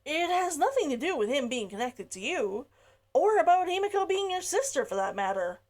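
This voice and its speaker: A woman voicing a gentle, earnest young man who calmly reasons with someone in a storybook read-along. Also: very high voice, moderate pace, voice pushed up, heavily acted. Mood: insistent. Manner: calm, reasoning delivery.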